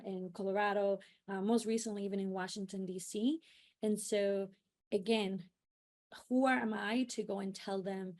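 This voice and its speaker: A woman's voice: medium pitch, darkish tone. A woman raised in New York City, a youth civic organizer answering on a panel in an earnest, explanatory way with many fillers and restarts. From New York City, United States.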